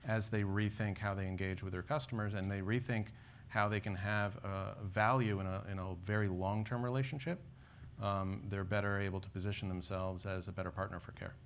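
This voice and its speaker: A man speaking as a panelist, answering an audience question in a measured, explanatory way that often ends with "right?". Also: lowish voice, little pitch movement, a little hiss or hum.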